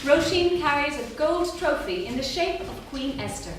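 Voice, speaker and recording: A woman's voice: high voice, expressive, moderate pace. A woman narrating stage directions at a live staged script reading. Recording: echoey theatre, far mic, noisy.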